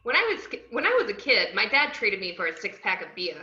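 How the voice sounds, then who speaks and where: A woman playing a brash, bubbly, unhinged young antiheroine, narrating her own story with cheeky, expressive delivery in a table read. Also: medium pitch, brisk. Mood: breezy. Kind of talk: first-person scripted narration in an amateur table read. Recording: roomy, muffled online call audio.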